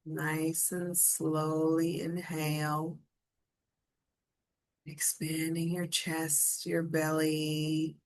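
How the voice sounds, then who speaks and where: Very slow, low-pitched woman guiding meditation. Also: monotone. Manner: soothing, gentle, invitational. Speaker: guided meditation leader. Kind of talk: guided meditation.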